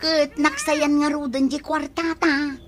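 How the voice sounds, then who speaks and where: A woman's voice: sits high, flat delivery, a little slow, background noise. An actress playing a generous, trusting woman in an Ilocano radio comedy drama, speaking with an Ilocano accent. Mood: calm, defensive. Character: generous, trusting woman who lends money to friends.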